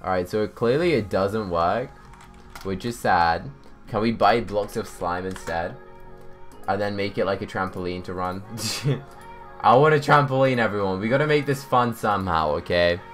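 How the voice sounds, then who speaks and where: Low-voiced young man livestreaming a game. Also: moderate pace, soft background music. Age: young adult. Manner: chatty, thinks out loud. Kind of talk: casual live gaming commentary, reading chat aloud. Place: indoors, small home room.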